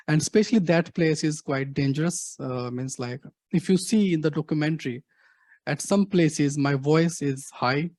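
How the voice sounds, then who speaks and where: Indian-accented middle-aged male guest, slightly high voice, hesitant with fillers, dry close mic. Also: darkish tone, no echo. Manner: halting, many fillers, frequent "actually". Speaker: guest speaker, activist. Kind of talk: answering audience questions.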